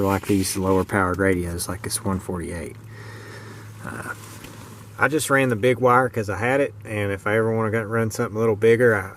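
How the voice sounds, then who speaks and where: A man's voice: on the low side, fairly bright tone, a little hiss or hum. A man with an American accent chatting casually in a folksy, plain-spoken way as he gives an amateur show-and-tell. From rural United States. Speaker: vlogger showing his truck radio setup.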